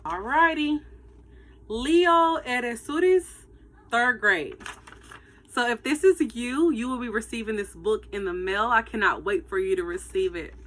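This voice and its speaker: A woman teacher reading a story aloud to children in an animated, emphatic way, with asides to her listeners. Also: a little high, measured pace.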